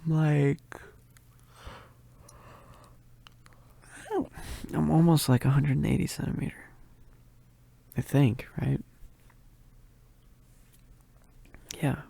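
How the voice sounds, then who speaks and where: Shy, needy werewolf roommate for roleplay ASMR, played by a performer: very dark, soft voice with creaky edges, halting and affectionate. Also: mid-range voice, dry recording. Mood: flustered. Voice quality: soft, breathy, husky. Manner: bashful, halting, giggly, often dropping to whispers. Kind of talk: roleplay ASMR, intimate one-sided dialogue.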